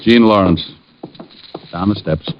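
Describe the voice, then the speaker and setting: An actor playing a wry, unflappable private detective in 1940s radio drama style. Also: male voice, on the low side, slow, a little hiss or hum. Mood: wry, cool. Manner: wry, flippant, hard-boiled. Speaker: lead actor and narrator. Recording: dull old radio recording with music.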